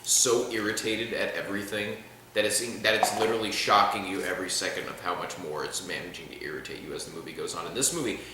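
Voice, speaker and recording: Male film reviewer, lowish pitch, sarcastic rambling delivery, very reverberant room with faint hiss. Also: fairly even intonation, fast. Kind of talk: casual chat. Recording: very echoey room, faint noise.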